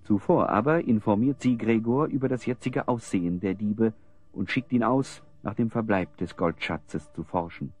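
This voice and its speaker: A man's voice: on the low side, moderate pace, a little mellow. A man narrating a German audio drama in a scripted, theatrical storytelling style.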